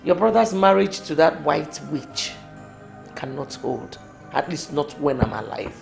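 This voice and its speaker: A woman's voice: low voice, lively intonation, measured pace, gravelly, darkish tone, heavily acted. An actress playing a stern royal mother who disapproves of her son's marriage. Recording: music underneath.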